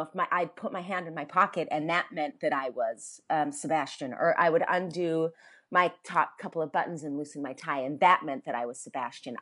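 Stage actress, young-sounding voice, low-ish pitch, reflective interview answers. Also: female voice. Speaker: stage actor.

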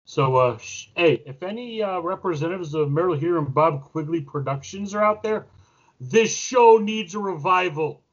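A man's voice: a little high, expressive, moderate pace. A man in his thirties chatting casually as a co-host on a podcast panel. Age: 30s. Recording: slightly dull lo-fi recording.

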